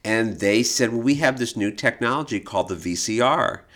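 A man co-hosting a podcast, explaining art and television history conversationally, haltingly and with repeated words for emphasis. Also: mid-range voice, animated, fairly bright tone. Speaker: podcast co-host and expert.

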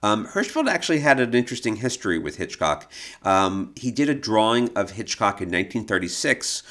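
A man with a distinctly low voice talks as a podcast co-host. He explains his subject in a knowledgeable, conversational style, like someone at home with the material. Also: sing-song, brisk, fairly bright tone. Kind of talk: informative conversational podcast talk.

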